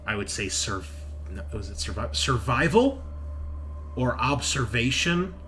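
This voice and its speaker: A man narrating a sci-fi horror tabletop game as game master, speaking haltingly and thinking aloud with pauses. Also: mid-range voice, big pitch swings, noisy background.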